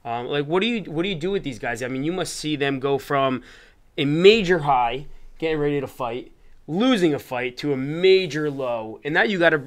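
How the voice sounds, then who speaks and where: A man's voice: on the high side, expressive, normal speed. Man in his thirties asking rambling questions. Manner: rambling questions full of "like" and "I mean". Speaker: podcast host. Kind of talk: interview questions. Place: studio room with bookshelves. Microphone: studio mic on a boom arm.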